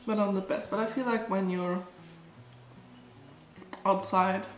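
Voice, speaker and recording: Woman in her twenties, from Germany, stuffed-up nose, chatty rambling, talks slowly, camera in front in a small cottage room. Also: medium pitch, steady tone, roomy sound, soft background music. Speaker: food vlogger. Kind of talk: casual vlog, taste-testing snacks. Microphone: camera in front, close framing.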